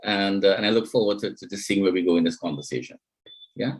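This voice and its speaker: A middle-aged man, an executive coach, introducing himself on a panel in a reflective, measured way over a video call. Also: deep voice.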